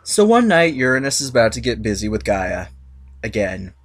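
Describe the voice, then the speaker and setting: A man's voice: medium pitch, big pitch swings, moderate pace, a bit bright. A sarcastic male storyteller with a mid-range voice that swings up and down with animation. He reads myths as a comic, irreverent monologue, punching his jokes hard. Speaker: narrator. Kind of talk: comic dramatic reading.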